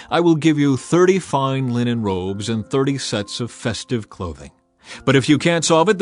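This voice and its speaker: A man's voice: mid-range voice, natural voice. Man playing a proud, emphatic young strongman.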